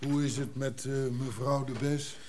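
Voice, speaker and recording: A man's voice: mid-range voice, steady tone, normal speed, lightly acted. An actor playing a practical, inquisitive old craftsman in a Dutch radio drama, plain-spoken and a little hesitant. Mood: concerned, curious. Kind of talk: naturalistic Dutch radio play acting. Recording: clearly noisy track with clicks.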